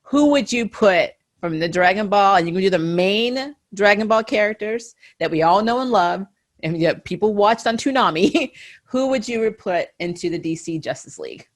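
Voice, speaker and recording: A woman with a medium-pitched voice hosts a relaxed fan conversation. She sounds enthusiastic and laughs easily as she talks. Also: normal speed. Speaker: podcast host and interviewer. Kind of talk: casual chat about comics and anime.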